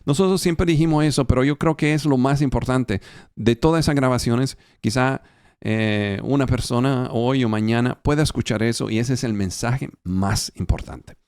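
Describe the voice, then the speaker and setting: A man preaching a sermon in a language that is not his first, halting, with fillers and restarts. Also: medium pitch, a little mellow, clear, resonant tone, dry recording, studio quality. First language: not Spanish. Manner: halting, with fillers and restarts as a non-native speaker.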